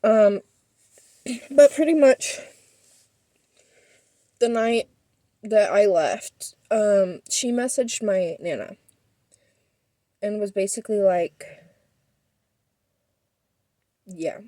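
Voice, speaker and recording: A woman's voice: medium pitch, animated, drawn-out words, pauses often, mellow, no echo, studio quality. A young woman telling a personal story as a vlogger, rambling and defensive with many fillers, sniffling.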